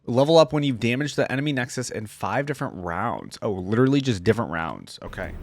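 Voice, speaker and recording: A man's voice: mid-range voice, very animated, fast, no echo. A man giving casual reaction commentary as a video host, thinking aloud as he goes.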